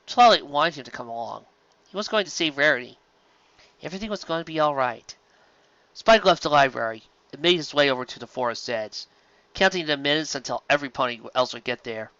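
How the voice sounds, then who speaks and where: A man reading scripted fiction aloud as an expressive amateur narrator. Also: a little high, brisk, no room sound, lo-fi sound.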